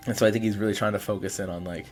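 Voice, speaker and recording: A man's voice: lowish voice, fairly even intonation, racing. A man talking casually as a player in a tabletop game, thinking aloud a little hesitantly as he describes characters. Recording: faint music behind the voice.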